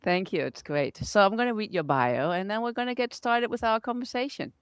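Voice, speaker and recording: A woman's voice: mid-range voice, lively intonation, fairly quick, smooth, dry recording. A woman hosts a podcast interview in English, her second language. She talks in an encouraging, conversational way. The sound is dry and close. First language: not English. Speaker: podcast host. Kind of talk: relaxed interview chat.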